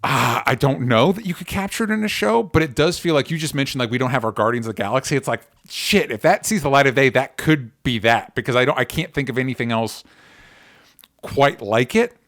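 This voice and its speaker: A man's voice: medium pitch, a little fast, fairly bright tone, dry recording, clean studio sound. A man in his thirties hosting a casual pop culture discussion, talking with opinionated enthusiasm and free swearing. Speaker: podcast host.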